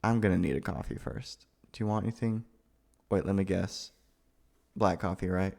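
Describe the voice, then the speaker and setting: A man's voice: low-pitched, fairly even intonation, normal speed, vocal fry, darkish tone. A young man playing a nervous, sweet, awkward roommate in an ASMR roleplay, stammering and hesitant. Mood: gentle teasing. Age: young adult. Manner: flustered, stammering, hesitant, sighing. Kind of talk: ASMR boyfriend roleplay, acted monologue.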